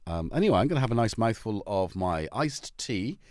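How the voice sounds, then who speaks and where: A man's voice: fairly low pitch, big pitch swings, fairly quick, a little mellow, no echo, clean studio sound. A middle-aged man from North Wales with a North Welsh accent, chatting casually with viewers on a livestream and joking as he greets them by name. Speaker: livestream host. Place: home.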